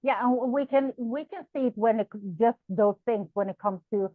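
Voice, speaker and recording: A woman from Sweden, speaking English as a second language, hosts a conversation over a video call. Her voice sits a little high, and the sound is dry and thin, like a phone line. She speaks thoughtfully and restarts her words as she searches for them. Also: a little fast, no echo. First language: Swedish. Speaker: podcast host. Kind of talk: conversational interview.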